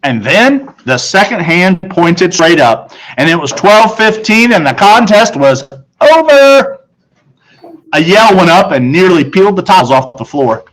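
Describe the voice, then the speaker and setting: A man reading a children's story as expressive scripted narration, dramatic and with deliberate pauses. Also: medium pitch, rough recording. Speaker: audiobook narrator.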